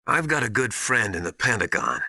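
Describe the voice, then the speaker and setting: An earnest, anxious male ally in a game drama. His lowish voice is very sharp and moves up and down a lot as he confides urgently and hesitantly, recorded close and dry. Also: wildly swooping pitch, a little fast, gravelly, husky, dry recording, heavily acted. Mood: secretive, uneasy. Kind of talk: dramatic video game voice acting.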